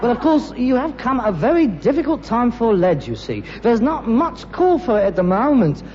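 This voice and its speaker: A man's voice: very high voice, sing-song, normal speed, crowd noise. An actor playing a bitter, pretentious rag-and-bone man in a British sitcom, with a London accent and a sarcastic, mock-grand delivery. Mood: sly. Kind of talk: British sitcom acting before an audience. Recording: muffled, noisy, reverberant old sitcom soundtrack.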